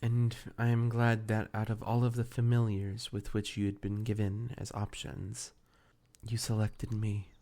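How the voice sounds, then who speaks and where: A man playing a devoted, ancient fey familiar spirit in an intimate roleplay audio, speaking formally and tenderly with measured, archaic diction. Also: on the low side, little pitch movement, mellow. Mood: earnest, devoted.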